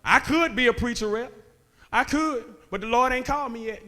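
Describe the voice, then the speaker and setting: A man, preaching a sermon that moves between joking talk and shouted calls to the men. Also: very high voice, moderate pace. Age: 30s. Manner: conversational preaching that builds to shouting, with laughs and rhetorical questions. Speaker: guest preacher. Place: church sanctuary.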